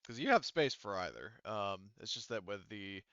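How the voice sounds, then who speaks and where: A man with a slightly low, smooth voice acts as game master. He narrates casually and haltingly, rambling and hedging as he improvises. The sound is close and dry, a little dulled. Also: big pitch swings, sharp tone, no room sound, muffled recording.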